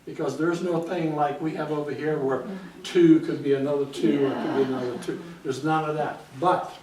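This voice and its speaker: A man's voice: fairly high pitch, fairly even intonation, normal speed, darkish tone, a little hiss or hum. An older man born in Hungary, giving a chatty guest talk at a library and often checking in with "okay?". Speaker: guest speaker. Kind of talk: informal library talk with slides. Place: library meeting room. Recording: echoey room, speaker far from the mic.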